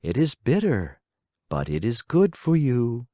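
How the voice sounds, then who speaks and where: A storyteller voicing a patient, motherly fairy who coaxes gently but firmly. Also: mid-range voice, huge pitch swings, a little slow, no room sound, studio quality. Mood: coaxing. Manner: gentle, coaxing and firm.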